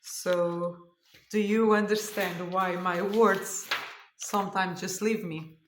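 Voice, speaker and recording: Tired Portuguese-speaking woman, slow and chatty. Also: lowish voice, steady tone, roomy sound, noisy background. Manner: chatty, thinking aloud, searching for words. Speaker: cross stitch channel host. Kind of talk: casual show-and-tell vlog.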